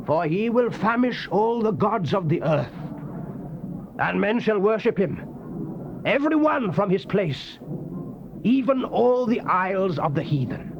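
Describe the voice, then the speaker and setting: A man's voice: high-pitched, big pitch swings, measured pace, gravelly, long pauses, highs cut off. A man with a British accent gives a solemn, theatrical, declamatory dramatized reading of the King James Bible. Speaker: dramatic scripture reader. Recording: noisy old cassette tape recording.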